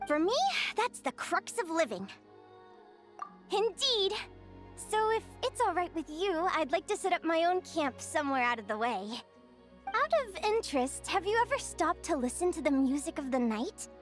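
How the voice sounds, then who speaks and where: A very high, swooping young woman's voice plays a cheerful adventurer in a video game. She is curious and bubbly, earnest about the natural world, and expressive in every phrase. Soft music plays behind her. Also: normal speed. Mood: earnest, musing. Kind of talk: animated video game character acting.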